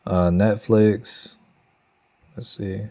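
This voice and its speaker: A man's voice: lowish voice, fairly even intonation, extremely slow, darkish tone. A young man with a Texan accent, casually thinking aloud and chatting with viewers on a trading livestream. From Texas. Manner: thinks aloud, loose slangy talk to chat. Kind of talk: casual live market commentary.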